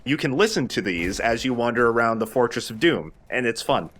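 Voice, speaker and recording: A man's voice: mid-range voice, expressive, brisk, fairly bright tone. A man giving casual, opinionated commentary over a video game, explaining how it works as he goes. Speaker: host of a video game let's play.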